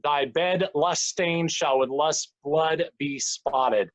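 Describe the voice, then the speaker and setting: Man declaiming as a higher-voiced, vengeful jealous husband. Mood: solemn rage. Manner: declamatory, deliberate. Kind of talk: Shakespeare recitation.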